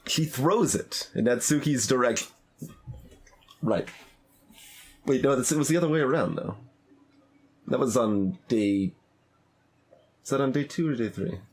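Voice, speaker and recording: A man's voice: medium pitch, measured pace, pauses often, slightly rough. A man giving live playthrough commentary on a visual novel, hesitant and thinking aloud as he reads. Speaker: streamer playing a visual novel.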